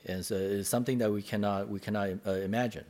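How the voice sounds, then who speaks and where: A man interpreting a sermon phrase by phrase, halting and repeating words as he searches for phrasing. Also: fairly low pitch, fairly even intonation, darkish tone.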